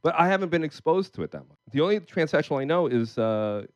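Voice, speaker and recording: A man's voice: on the high side, lively intonation, fast, no echo, highs cut off. A man hosting a podcast, cracking self-deprecating jokes and laughing often in casual chat. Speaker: podcast host.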